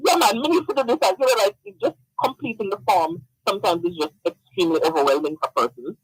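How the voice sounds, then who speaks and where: A man from Jamaica, a guest in a casual radio interview, who talks animatedly, laughs often and repeats words when excited. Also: high-pitched, quick pace, breathy edge, no room sound, low-quality recording.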